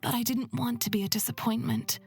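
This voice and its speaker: A woman voicing a calm, reflective young female wagon driver in a fantasy visual novel, measured and thoughtful. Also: fairly high pitch, husky, faint music behind, pitched above the performer's own voice.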